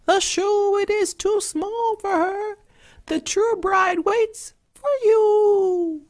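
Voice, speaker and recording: A woman voicing a small pigeon from a storybook, crying out a rhymed warning in a drawn-out chant. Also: fairly even intonation, a little mellow, full character voice. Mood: urgent warning. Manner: drawn-out, high chanting cry.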